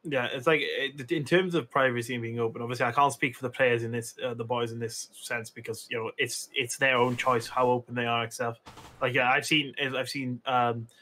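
A man's voice: mid-range voice, fairly even intonation, brisk, pushed and bright, a little hiss or hum. A Welsh man, a streamer, talking on a panel in a rambling, casual way with many fillers. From Wales.

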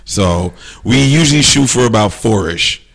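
A man's voice: on the low side, expressive, normal speed, creaky, fairly bright tone. A man co-hosting a podcast as a DJ, easygoing and laughing often, with a powerful voice. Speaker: DJ and podcast co-host. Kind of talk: casual podcast chat.

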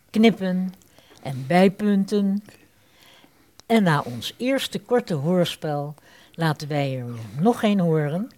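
Slow, animated, low-pitched woman narrator. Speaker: host, narrator. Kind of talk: scripted radio play introduction.

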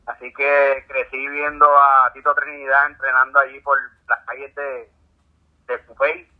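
Puerto Rican man on a tinny line. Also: medium pitch. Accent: Puerto Rican Spanish. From Puerto Rico. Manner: calm, halting storytelling with many fillers. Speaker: guest, ultramarathon swimmer. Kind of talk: casual interview. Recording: tinny phone-like call audio.

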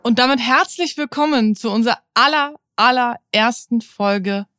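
A woman's voice: a little high, normal speed, a bit bright, clear, resonant tone, dry recording, clean studio sound, soft background music. A woman aged thirty chatting casually as a podcast co-host, frank and informal, slipping English slang into her talk. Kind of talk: casual chat.

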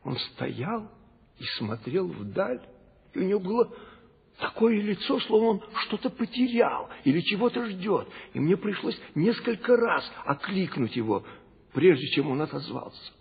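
A husky old court tutor. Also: male voice, high voice, sing-song, moderate pace, full character voice. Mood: worried, respectful. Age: older. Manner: respectful, anxious reporting. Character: old male tutor to a prince, devoted and worried. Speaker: court servant in a radio play. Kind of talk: classic radio play acting. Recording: tinny old recording, long reverb, music.

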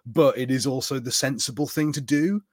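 A man's voice: medium pitch, a little fast, dry recording, studio quality. A man co-hosting a podcast, chatting casually and filling his talk with "like". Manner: relaxed, heavy use of "like". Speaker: podcast co-host. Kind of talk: casual chat.

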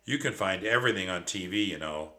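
A man voicing a brash, greedy male mouse who is boastful, whiny and squeaky. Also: lively intonation, a little fast, heavily acted. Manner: squeaky, loud, mocking.

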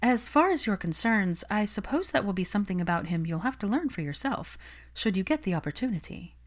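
A woman's voice: medium pitch, very animated, a little fast, pitched above the performer's own voice. A woman voicing a polite, guarded young witch in an audiobook, bland and dryly unimpressed in manner.

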